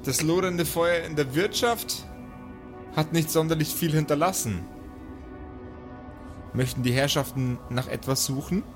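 A man's voice: on the high side, measured pace, long pauses. Male game master with music behind. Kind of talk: improvised tabletop game narration.

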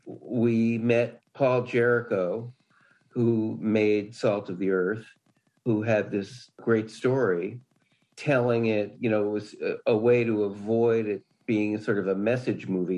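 A man's voice: on the low side, a little mellow, rough recording. An older man, a writer being interviewed, talking casually and anecdotally. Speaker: interview guest, screenwriter.

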